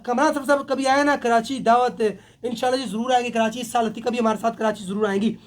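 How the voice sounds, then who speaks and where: A man hosts a live stream, his voice hoarse from a sore throat. He talks very fast, greeting viewers by name and joking along. His voice sits very high for a man. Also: steady tone. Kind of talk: casual live chat reading viewer comments.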